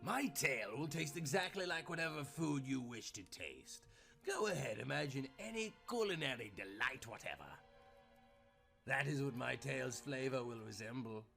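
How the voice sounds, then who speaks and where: A man's voice: medium pitch, animated, normal speed, vocal fry, bright, forceful tone, faint music behind. A man voicing a courteous but easily ruffled devil in a storybook reading, with formal, old-fashioned phrasing. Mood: ruffled, boastful.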